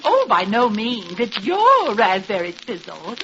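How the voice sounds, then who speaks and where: An actress playing a chatty, dithery shopkeeper's wife in an old-time radio comedy. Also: female voice, mid-range voice, wildly swooping pitch, a little slow, a bit bright, heavily acted. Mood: blithe. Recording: very noisy, muffled vintage radio recording.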